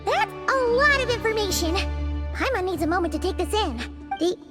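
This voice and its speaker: A tiny fairy sidekick chatters in an extremely high, squeaky, childlike voice. The delivery is bubbly, bossy and bouncing in pitch, like animated game dialogue, over loud music. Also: female voice, a little fast. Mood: chatty. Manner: exclamatory, chatty, refers to herself by name.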